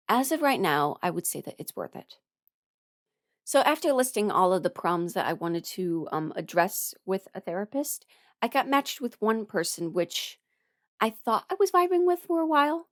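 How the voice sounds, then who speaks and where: A young adult woman talking frankly and haltingly in a candid personal ramble, often quoting her own thoughts as she goes. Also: mid-range voice, no echo, studio quality. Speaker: voice performer sharing a personal ramble.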